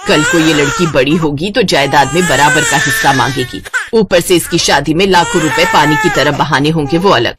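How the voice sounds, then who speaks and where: A woman's voice: low-pitched, moderate pace, few pauses, heavy background noise, pitched below the performer's own voice, lightly acted. A woman voicing a scheming, deceitful old grandmother in a cartoon story, calculating and falsely coaxing. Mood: scheming, bitter. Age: older.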